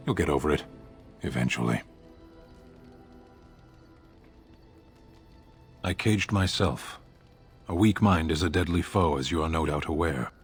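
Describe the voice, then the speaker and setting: A man playing a grave, proud foreign warrior in a video game, speaking in terse, measured, formal sentences. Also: low voice. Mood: stern, reserved. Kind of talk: video game dialogue acting. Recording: music behind the voice. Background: game music.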